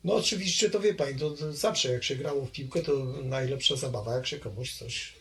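Older man from Poland, oral history interviewee, halting delivery full of fillers, faint background hiss. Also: medium pitch, a bit bright. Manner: hesitant, halting speech with many fillers. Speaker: interviewee recalling his childhood.

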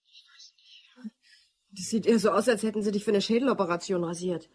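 Actress as husky, reserved office secretary. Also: female voice, mid-range voice, a little fast, darkish tone, lightly acted. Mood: sceptical, dry. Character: dutiful female office secretary, dry and sceptical. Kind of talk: German radio crime drama acting.

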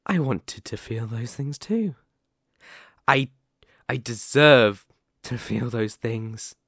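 A man voicing a sadistic, possessive werewolf, wounded and menacing, in a dramatic ASMR roleplay monologue with drawn-out pauses. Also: fairly low pitch, fairly bright tone, dry recording, clean studio sound, heavily acted.

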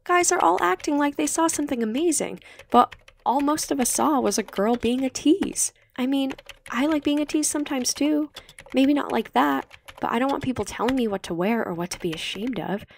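A woman's voice: high-pitched, moderate pace, creaky, pitched above the performer's own voice. Woman voicing a brash, blunt teenage girl. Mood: puzzled, frank. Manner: blunt, casual. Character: brash, frank, puzzled teenage schoolgirl. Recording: slightly noisy home recording.

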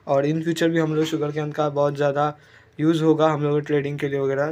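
A man, hoarse from a cold, chatting casually as he narrates his own game play and mixes English words into Hindi. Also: fairly high pitch, a little mellow. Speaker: gaming YouTuber.

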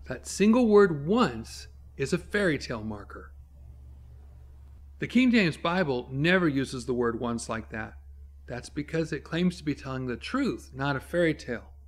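A man in his fifties speaks as a Bible teacher addressing the camera. His voice sits a little high and swings widely in pitch. He explains and preaches emphatically, pausing dramatically to stress key words. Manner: emphatic, dramatic pauses for effect.

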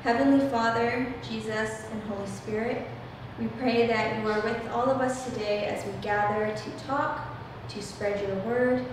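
A woman's voice: a little high, steady tone, measured pace. An eighteen-year-old woman hosting a casual discussion in a church room, talking earnestly with frequent "um" fillers. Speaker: host. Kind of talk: casual discussion, leading a prayer. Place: church room, small group at a table. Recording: echoey room, heard from a distance, background noise.

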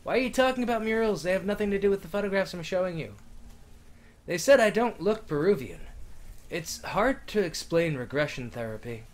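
A man playing a horror game and commenting on it, loud, excitable and sarcastic, swearing freely and reading the game's lines aloud. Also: high voice, brisk. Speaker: game streamer. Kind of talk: let's play commentary.

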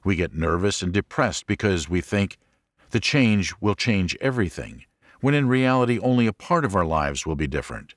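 A man narrating a religious self-help audiobook in a measured, deliberate way with clear phrasing. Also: low-pitched, big pitch swings, dry recording, studio quality.

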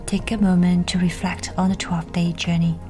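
A woman with a breathy, whispery voice leads a scripted guided meditation in a soothing, unhurried way, with pauses between instructions. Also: on the low side, flat delivery, a little mellow. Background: music.

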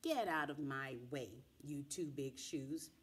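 A woman's voice: deep voice, takes it slowly, slight background noise, pitched below the performer's own voice. A woman voicing a bossy, fearless little old lady from a children's storybook, speaking firmly and with emphasis. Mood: defiant. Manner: firm, defiant, emphatic.

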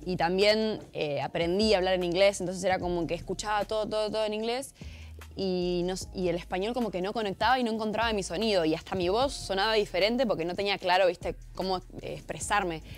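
A young woman in her twenties with an Argentine accent, a singer answering interview questions in a relaxed, chatty way. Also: mid-range voice, quick pace. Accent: Argentine Spanish. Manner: relaxed, chatty, says "viste" a lot. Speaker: pop singer guest. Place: TV studio set.

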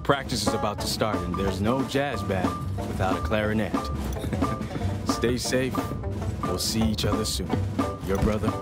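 A man's voice: on the low side, a little slow, close to an everyday voice. A man playing a friendly, upbeat young American soldier reading his letter home as an informal game voice-over. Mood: warm, reassuring. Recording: loud percussive music behind the voice. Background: loud music with drums.

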